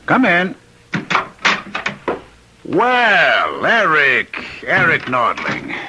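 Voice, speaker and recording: An actor in a radio drama playing a cultured, eerily courteous condemned murderer who was once a professor, speaking in deliberate, theatrical phrases. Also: male voice, fairly high pitch, wildly swooping pitch, noisy background, heavily acted. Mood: warm, admiring.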